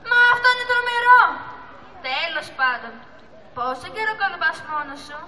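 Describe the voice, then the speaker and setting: A woman's voice: very high-pitched, very animated. A teenage girl playing a small, lonely, anxious female sea turtle in an amateur school puppet play, with theatrical pleading. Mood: suspicious. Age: young teenager. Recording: echoey hall recording with audience noise.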